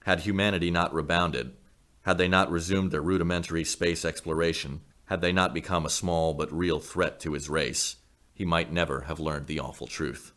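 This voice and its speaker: A man's voice: sits low, fairly even intonation, moderate pace, fairly bright tone. A man reading a science fiction story as dramatic scripted narration. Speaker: story reader.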